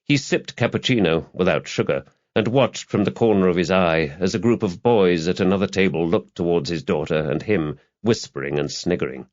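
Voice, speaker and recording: A man's voice: deep voice, moderate pace, hardly any pauses, lo-fi sound. A man reading crime fiction as dramatic scripted audiobook narration.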